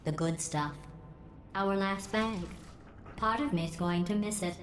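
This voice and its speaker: An actress voicing a cold, sarcastic female facility AI in a video game, with a deadpan, passive-aggressive delivery. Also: medium pitch, slow pace, a little hiss or hum, close to an everyday voice. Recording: echoey game audio with music.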